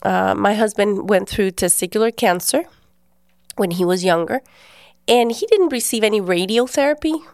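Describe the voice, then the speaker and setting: A woman's voice: medium pitch, normal speed, darkish tone, no room sound, studio quality. A woman, hosting a podcast and telling a personal faith story in an earnest, conversational way. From Guatemala. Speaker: podcast host.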